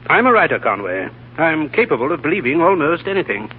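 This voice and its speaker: An actor playing a sensible, concerned gentleman writer, polite and clear, in 1940s radio drama style. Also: on the high side, sing-song, a little fast, a little hiss or hum. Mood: earnest, gentle. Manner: polite, solicitous, clearly enunciated. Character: concerned, sensible gentleman writer looking after his sick friend. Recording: tinny old radio broadcast, faint music.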